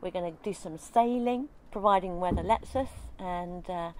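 A woman's voice: lowish voice, moderate pace, darkish tone, a little hiss or hum, low-quality recording. An older woman in a casual outdoor interview, chatting about sailing life with frequent ums. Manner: chatty, thinks aloud with many ums. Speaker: interviewee, sailor. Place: outdoors in a leafy garden.

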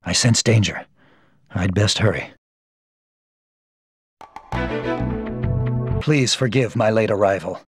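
An actor playing a stern, honorable ninja in a video game, speaking in a formal, solemn, measured way. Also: male voice, on the low side, faint music behind, close to an everyday voice. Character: stern, honorable male ninja avenger, calm and grim. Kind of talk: video game cutscene acting.

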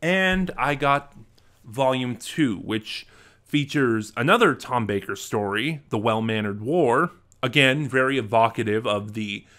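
A young man with a bright, clear-edged voice talks his way through a casual unboxing vlog. He sounds enthusiastic and animated, gesturing as he speaks. He is recorded on a desk mic in a small room at home. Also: mid-range voice. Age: young adult. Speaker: vlogger. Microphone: desk mic in front of webcam.

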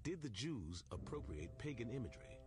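A man reading scripted documentary narration in a measured, storytelling way. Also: mid-range voice, very animated. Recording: narration over loud music.

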